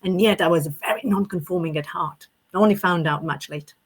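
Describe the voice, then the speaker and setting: A woman's voice: lowish voice, a little fast, husky. A middle-aged woman from Romania hosting a conversational interview podcast, playful and often searching for her words. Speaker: podcast host.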